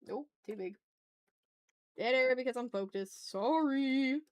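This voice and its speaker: A woman with a fairly high voice hosts a casual drawing livestream. She talks informally, half to herself and half to viewers, in slangy, playfully dramatic asides. The sound is very dry, with no room echo. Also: slow pace, darkish tone, clean tone. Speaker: art livestreamer, host.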